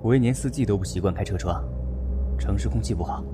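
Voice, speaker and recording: Actor as a reserved young male professor, lowish calm voice, audio drama, music under it. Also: fast talker, lightly acted. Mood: calm, polite.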